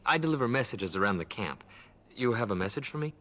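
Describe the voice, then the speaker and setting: Flustered young elf servant, fantasy game, stammering, mid-pitched, eager to obey. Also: male voice, lively intonation, fast talker, pushed and bright. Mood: nervous, flustered. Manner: stammering, deferential. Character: nervous, eager young elf errand runner.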